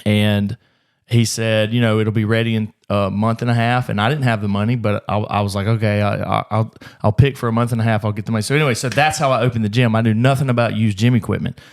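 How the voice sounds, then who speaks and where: A man hosting a casual podcast, telling stories quickly and rambling, with swearing along the way. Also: lowish voice, fairly even intonation. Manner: fast, rambling, swears freely. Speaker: gym owner, podcast host.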